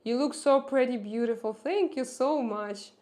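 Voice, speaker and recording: A woman's voice: on the high side, darkish tone. A young woman with a Russian accent, chatting casually on a live stream and reading out viewers' messages, with many hesitant fillers. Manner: halting, many "uh" fillers, reads out chat messages.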